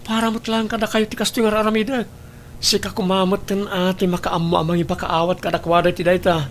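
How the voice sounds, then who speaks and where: A man acts out an evasive husband in an Ilocano radio-style drama, with a slightly high voice and an Ilocano accent. He sounds like a cornered man guarding a secret, over faint noise. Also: little pitch movement, fairly quick, close to an everyday voice. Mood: defensive, irritated. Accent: Filipino, Ilocano. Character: evasive husband hiding an affair, defensive with his wife. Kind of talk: Ilocano audio drama acting.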